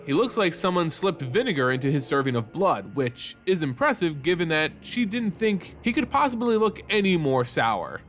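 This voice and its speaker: A man reading scripted fantasy narration as a host and storyteller, with a measured, dramatic delivery. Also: fairly high pitch, very animated, a bit bright. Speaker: host and story narrator of a fan lore channel. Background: music under the voice.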